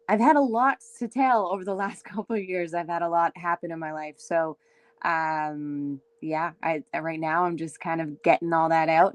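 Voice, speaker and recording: Raspy young woman, chatty guest. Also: low voice, no room sound. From Windsor, Ontario, Canada. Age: young adult. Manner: chatty, many fillers, laughs easily. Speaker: singer-songwriter guest. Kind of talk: casual interview chat.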